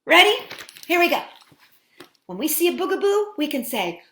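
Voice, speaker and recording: A woman hosting a children's storytime, reading a picture book aloud in an expressive, sing-song way with dramatic pauses. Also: high voice. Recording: roomy echo.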